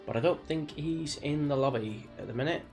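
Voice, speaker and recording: A man's voice: a little high, brisk, over music. A British man with a slight cold chats casually on a livestream, rambling in a laid-back way like a racing gamer talking to viewers. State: sniffly from a cold. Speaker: live streamer, sim racing driver. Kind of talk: casual livestream chat before a race.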